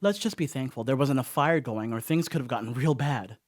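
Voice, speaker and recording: An actor playing a rattled, rambling house sitter in a radio drama monologue, conversational and self-excusing with emphatic swings. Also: male voice, mid-range voice, fairly quick, faint music behind, close to an everyday voice. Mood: defensive, rattled. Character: rattled, rambling young male house sitter writing a confessional note. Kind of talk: dramatic first-person radio play monologue.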